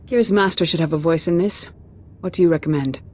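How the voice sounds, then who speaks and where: A woman's voice: fairly low pitch, quick pace, lightly acted. A woman acting a composed, fair-minded Jedi grandmaster in a video game, speaking formally and with authority.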